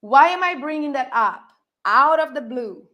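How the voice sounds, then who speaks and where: Woman, brazilian portuguese accent, fairly high voice, passionate livestream co-host. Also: expressive, moderate pace, lo-fi sound. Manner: passionate, laughs often. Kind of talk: political livestream talk.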